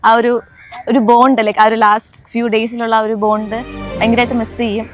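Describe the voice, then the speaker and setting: Chatty young woman over loud music. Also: on the high side, level tone, a little fast. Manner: chatty, emphatic, mixing in English words. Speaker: friend of the bride. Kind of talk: casual on-camera interview. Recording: music playing behind.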